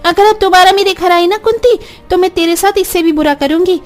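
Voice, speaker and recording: Actress as a high, scolding village neighbour woman. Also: fairly quick. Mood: angry, scornful. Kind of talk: Hindi cartoon dubbing.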